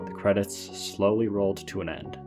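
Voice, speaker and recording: A man's voice: fairly low pitch, steady tone, normal speed. A man reading scripted storytelling narration as he reviews a video game. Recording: music under the voice. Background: background music.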